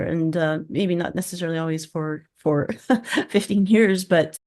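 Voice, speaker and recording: A middle-aged woman with a slightly low voice hosts a conversational interview. She phrases things in a measured, reflective way and shows appreciation for her guest. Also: darkish tone. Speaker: podcast host, interviewer. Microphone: studio microphone with pop filter, video call.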